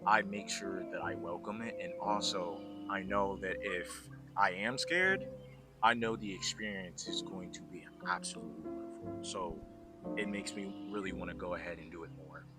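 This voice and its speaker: A man speaks earnestly and reflectively in casual chat. His voice is low, and loud guitar music is playing over it. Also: normal speed. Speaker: vlog host.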